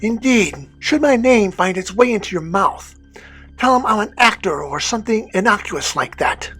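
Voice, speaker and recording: A husky, imperious Prince of Darkness played by a woman addresses an underling with formal scorn. The comedy podcast skit has music running under the voice. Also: medium pitch, expressive, moderate pace, a bit bright. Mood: commanding. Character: haughty, scornful Prince of Darkness, demanding master.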